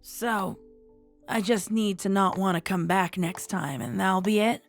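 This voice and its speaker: A woman playing a blunt, sardonic young dead pony with a gravelly edge in a fan audio drama. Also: medium pitch, steady tone, measured pace, soft background music, natural voice. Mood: grumbling. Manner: sarcastic, blunt.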